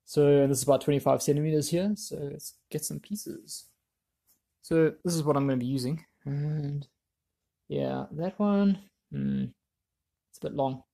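A man presenting a hands-on how-to in a casual, offhand way, thinking aloud as he works, with a husky, creaky voice. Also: fairly high pitch, normal speed, mellow. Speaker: DIY video presenter.

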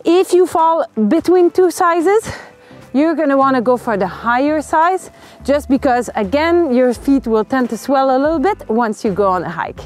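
A woman's voice: high voice, animated, normal speed. A young woman in a forest giving friendly, explanatory advice on choosing hiking boots to the camera. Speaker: hiking gear reviewer. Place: outdoors in a forest.

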